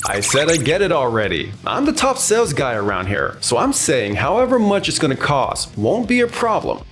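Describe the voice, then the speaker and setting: A man's voice: a little high, huge pitch swings, normal speed, music in the background. A man playing a cocky, smug young salesman in a manga dub. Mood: cocky, irritated. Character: cocky, smug male top salesman who steals brides.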